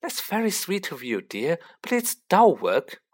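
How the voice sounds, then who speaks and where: A narrator voicing a scolding, bustling middle-aged mother witch in an audiobook, fussy but fond, with sharp exclamations. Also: medium pitch, very animated, unhurried, husky, voice pushed up. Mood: fussing, worried.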